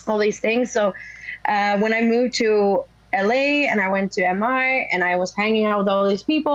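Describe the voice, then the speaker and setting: A young woman from Sweden with a naturally raspy voice, a rock singer chatting casually in an interview, rambling with many fillers. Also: mid-range voice, no echo, muffled recording. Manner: rambling, lots of "like" and "you know". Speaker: rock vocalist guest.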